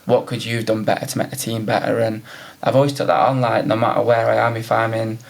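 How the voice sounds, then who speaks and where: A man's voice: lowish voice, little pitch movement, fast, creaky. A man from North Wales, a podcast guest chatting casually and laughing often. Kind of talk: casual interview chat.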